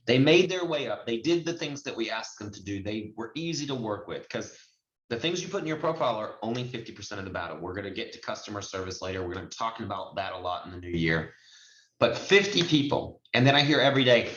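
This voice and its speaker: A man's voice: mid-range voice, a bit bright. A man giving an informal online training lesson, explaining step by step in an emphatic, conversational way as a trainer. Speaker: notary trainer and host. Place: indoors, online video call with screen share. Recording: muffled, roomy video-call audio.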